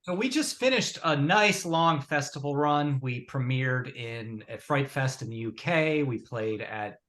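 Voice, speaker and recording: A man's voice: medium pitch, lively intonation, unhurried, a bit bright. A man, a film director, answering interview questions in a plain, matter-of-fact way.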